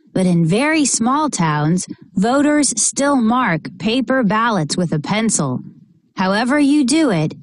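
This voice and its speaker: A woman reading a children's picture book aloud in a measured, expressive way, narrating and acting out short bits of dialogue. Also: mid-range voice, no room sound.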